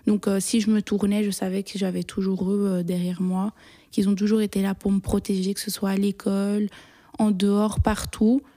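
A young woman answers personal questions in an interview. She sounds shy and hesitant, restarting her sentences and filling pauses. Her voice is mellow and dark, and her pitch barely moves. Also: flat delivery. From Belgium.

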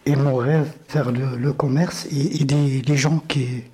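A man, introducing himself in short, halting sentences. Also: mid-range voice, steady tone, dark tone, breathy edge. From Algeria. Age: 40s.

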